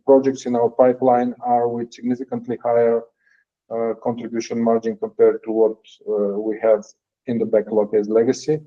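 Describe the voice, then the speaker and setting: A man presenting financial results as a company executive on an earnings call, in a halting, hesitant delivery with frequent pauses. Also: medium pitch, mellow. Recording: dull, lo-fi call audio.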